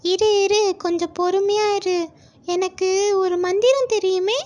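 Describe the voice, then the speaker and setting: A tiny storybook fawn, played by a woman, very high and squeaky. It sounds young and timid, yet earnest and sly as it coaxes its way along. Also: steady tone, slow pace, a little mellow. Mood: cunning, persuading. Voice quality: small, thin childlike squeak. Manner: anxious, coaxing, earnest. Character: timid but cunning little fawn.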